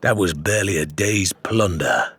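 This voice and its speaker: A man voicing a boastful, commanding pirate captain in a low, level, assured voice for an audiobook. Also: a bit bright, slightly rough. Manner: assured, deliberate. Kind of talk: audiobook character voice.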